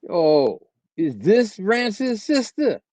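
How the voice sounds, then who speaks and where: A man's voice: high-pitched, sing-song, very slow, darkish tone, dry recording, exaggerated voice. A man playing a cruel, arrogant sci-fi villain who gloats and taunts, in a screenplay table read. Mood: gloating, taunting.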